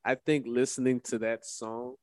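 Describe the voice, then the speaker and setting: A man's voice: mid-range voice, level tone, normal speed, no room sound, clean studio sound. An American man co-hosting a live radio show with casual, bantering talk about music.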